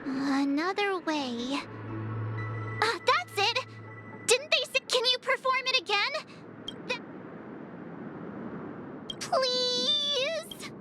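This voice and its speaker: A woman voicing a small, excitable childlike female companion in an anime-style video game, with a squeaky, cute voice and exclamatory delivery. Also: very slow, long pauses, exaggerated voice. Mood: eager, pleading. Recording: music playing behind the voice.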